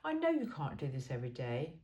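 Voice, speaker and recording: A woman's voice: sits low, wildly swooping pitch, quick pace, warm tone, highs cut off. A woman reading a self-help book aloud in an informal, blunt and emphatic way.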